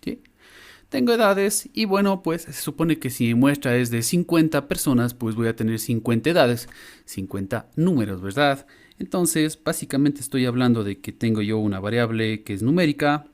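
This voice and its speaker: A man's voice: medium pitch, lively intonation, normal speed. A middle-aged man with a Latin American Spanish accent, lecturing informally in an online class and often checking in with short question tags. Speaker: university lecturer. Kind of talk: explanatory online lesson. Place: indoor room, online class.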